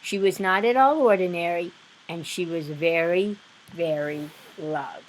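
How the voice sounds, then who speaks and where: A woman reading a children's story aloud with expressive, deliberate narration. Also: on the low side, a little hiss or hum, rough recording. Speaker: storybook reader.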